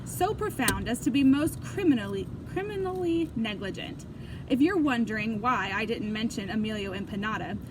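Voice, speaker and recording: A woman with a fairly high voice narrates a children's book in an expressive read-aloud style. Clear background noise runs behind her. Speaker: storybook reader.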